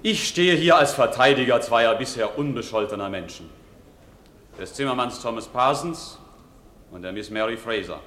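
A man plays an eloquent defence lawyer in an old radio courtroom drama. His formal, pressing questions swing widely in pitch. The voice rings through an echoing hall on a faintly hissy 1950s recording. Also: animated, moderate pace, a bit bright, lightly acted.

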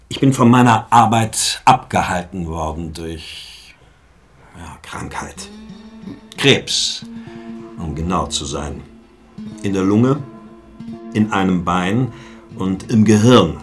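An actor playing a shrewd, ailing police inspector in a radio drama, sardonically polite, knowing and insinuating. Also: male voice, on the low side, natural voice. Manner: courteous, knowing, wry, insinuating.

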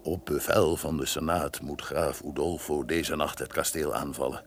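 An actor playing a scheming, vengeful count in a radio drama, speaking in a deliberate, insinuating way with a gravelly bass voice. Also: male voice, steady tone. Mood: plotting. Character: scheming, resentful count bent on revenge. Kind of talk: Dutch radio drama acting. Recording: noisy old radio play recording.

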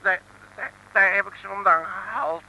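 An actor playing a blustering, streetwise detective with a Dutch accent in an old comic radio serial, exasperated and full of slangy interjections. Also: male voice, high-pitched, animated, moderate pace, creaky, pushed and bright, full character voice. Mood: exasperated, threatening. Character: blustering, streetwise Dutch male private detective, excitable and indignant. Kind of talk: 1950s-60s comic radio serial acting. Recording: noisy vintage radio recording.

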